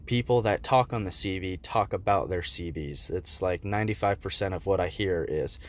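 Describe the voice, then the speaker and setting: A man giving a casual, unscripted product review in a laid-back, hesitant way, with frequent pauses and ums. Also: low voice. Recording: faint background noise.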